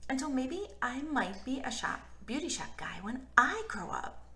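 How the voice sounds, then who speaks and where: A woman voicing a cheeky, excitable, stubborn little girl from a children's storybook, with emphatic childish phrasing. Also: sits high, measured pace, exaggerated voice. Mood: delighted, boastful. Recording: roomy, echoey room sound.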